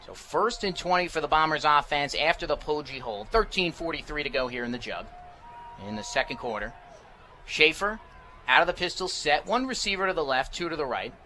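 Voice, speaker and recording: Bright, forceful American male football announcer. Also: on the high side, animated, a little fast. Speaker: play-by-play sports announcer. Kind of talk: live radio American football commentary. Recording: live broadcast with faint background noise.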